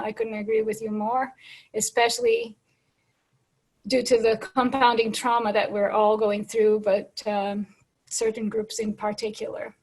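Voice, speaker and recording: Slightly high, hoarse woman host. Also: a bit bright. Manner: thankful, summarizing, with many fillers. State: hoarse, losing her voice. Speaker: host closing a panel forum. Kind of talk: closing remarks at an online panel.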